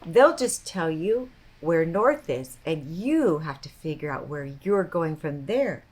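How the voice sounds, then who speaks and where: A woman's voice: sits low, big pitch swings, takes it slowly, a little mellow, voice pushed down, exaggerated voice. Friendly agreeable crow read by a woman.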